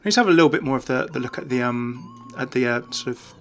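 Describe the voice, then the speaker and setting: A man's voice: mid-range voice, expressive, fast talker, a bit bright, a little hiss or hum. A man giving casual, off-the-cuff video game commentary in a chatty, enthusiastic way. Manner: chatty, enthusiastic about small details.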